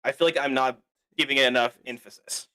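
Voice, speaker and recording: A man's voice: mid-range voice, fairly even intonation, fast talker, pushed and bright. A young man on a stream, explaining things casually and fumbling for words. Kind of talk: casual chat.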